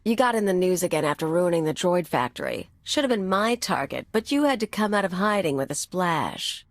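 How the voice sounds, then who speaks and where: A tough anarchist woman for a video game. Her voice sits fairly low in a dry studio sound, snide and mocking, with a bitter edge. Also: big pitch swings, fairly quick, no room sound. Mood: bitter sarcasm. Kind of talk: video game cutscene acting.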